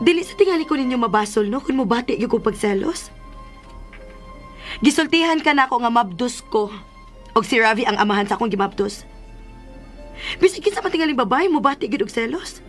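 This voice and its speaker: An actress playing a jealous young expectant mother in a radio drama, measured and wounded. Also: fairly high pitch, expressive, faint music behind, natural voice. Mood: hurt, guarded. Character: jealous pregnant young woman, hurt but restrained.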